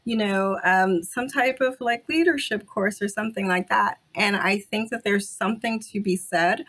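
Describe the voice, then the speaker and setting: Woman from Jamaica, podcast guest, reflective and unhurried, pauses often to restart her thoughts. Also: medium pitch, fairly bright tone. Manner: reflective, many fillers and restarts. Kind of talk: casual interview conversation.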